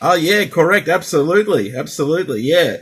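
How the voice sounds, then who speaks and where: A man's voice: on the high side, animated, fairly quick, breathy edge. A man in a casual radio chat as a CB operator, speaking hesitantly and repeating himself. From Australia.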